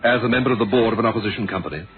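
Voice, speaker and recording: An actor playing a dogged police inspector in a radio mystery, questioning coolly and formally with pointed pauses. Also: male voice, fairly low pitch, natural voice. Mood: pointed, accusing. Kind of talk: 1970s radio mystery drama acting. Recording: muffled, noisy old radio recording.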